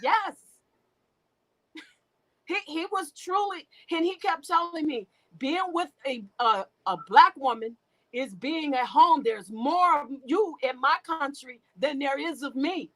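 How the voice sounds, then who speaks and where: A middle-aged woman candidly telling her life story as an interview guest, halting and restarting, often laughing. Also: high-pitched, sharp tone, rough recording.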